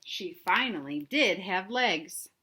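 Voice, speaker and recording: A woman reading a storybook aloud for children with expressive narration and chatty asides to her young listeners. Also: mid-range voice, slow, breathy edge. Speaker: read-aloud host for children.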